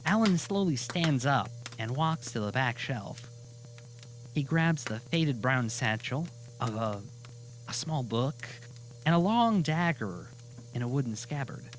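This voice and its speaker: A man narrating a scripted audio drama as a storyteller, deliberate and given to dramatic pauses. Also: medium pitch, a little hiss or hum, rough recording.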